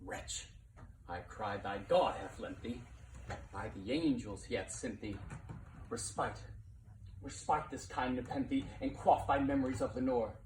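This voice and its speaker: A man giving a dramatic poetry reading as narrator, theatrical in manner, swelling from hushed to impassioned delivery. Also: medium pitch, unhurried. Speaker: narrator reading a poem aloud. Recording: roomy, distant mic with faint noise.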